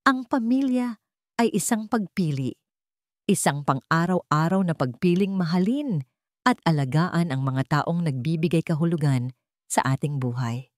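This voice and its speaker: A woman's voice: low-pitched, expressive, a little slow, no echo. A woman with a Filipino accent reading a scripted dramatic story as its narrator. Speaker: narrator of an online story channel.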